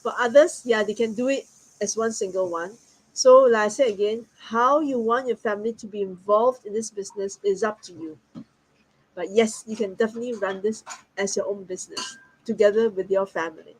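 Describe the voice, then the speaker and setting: A woman's voice: mid-range voice, brisk, a little mellow, breathy edge, poor audio. A woman from Singapore, talking as a guest in a friendly, earnest way. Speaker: guest business partner. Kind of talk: casual online talk.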